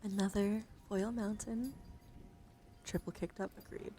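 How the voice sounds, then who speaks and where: A woman streamer speaking softly and playfully in an ASMR style, close to the microphone, her breathy voice often dropping into a whisper. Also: mid-range voice, steady tone, a little slow. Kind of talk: soft-spoken ASMR card showcase. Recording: clear background noise.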